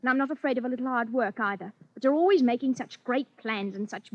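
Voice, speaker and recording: An actress playing a practical, exasperated wife, scolding and sarcastic in a 1940s radio suspense drama. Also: female voice, a little high, quick pace, lo-fi sound, a little hiss or hum, lightly acted. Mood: pleading. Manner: scolding, sarcastic, plain-spoken. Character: nagging, practical, exasperated working-class wife.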